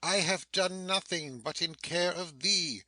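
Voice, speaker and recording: A man playing a commanding, protective older sorcerer father, reading verse drama in a measured, solemn way. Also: high voice, bright, forceful tone, dry recording, full character voice. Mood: solemn, reassuring.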